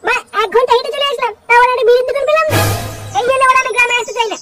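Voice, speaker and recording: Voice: extremely high pitch, fairly even intonation, rapid-fire, loud music behind the voice. A performer voicing a brash, demanding, hot-tempered boy from the city in a comic cartoon. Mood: whining, pushy. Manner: brash, foul-mouthed, demanding. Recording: very noisy cartoon soundtrack.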